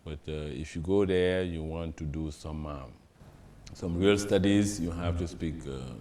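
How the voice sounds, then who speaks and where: A middle-aged man with a Senegalese accent, a guest in an interview, answering in a relaxed, hesitant way with many fillers and small stories. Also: sits low, measured pace, slight background noise. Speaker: interview guest, TV general manager.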